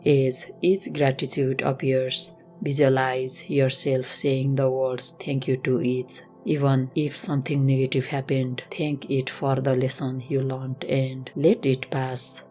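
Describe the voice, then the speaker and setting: Very low-voiced woman guiding meditation. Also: flat delivery. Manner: gentle, measured, instructional. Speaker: yoga and meditation instructor. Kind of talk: slow guided meditation. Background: music.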